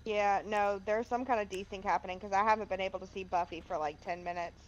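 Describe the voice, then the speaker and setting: A woman's voice: medium pitch, steady tone, fast. A woman talking casually in a gaming voice chat with other players. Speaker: player in group game. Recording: dull voice chat, faint cricket noise.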